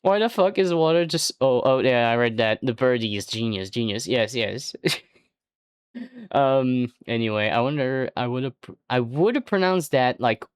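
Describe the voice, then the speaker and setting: A native French speaker streaming casually, rambling and swearing with jokey outbursts that jump up in pitch. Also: expressive, moderate pace, smooth, no room sound, clean studio sound. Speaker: livestreamer. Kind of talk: casual livestream chat.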